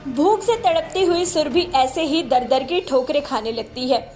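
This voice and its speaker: A woman reads a scripted cartoon story as its narrator. Her voice is high-pitched, and faint music plays underneath. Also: unhurried, a little mellow.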